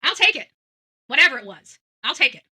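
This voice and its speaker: Female commentary host, blunt and accusing, very forceful bright tone, slightly high voice. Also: sing-song, brisk. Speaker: host of a true-crime commentary vlog. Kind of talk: unscripted opinion commentary.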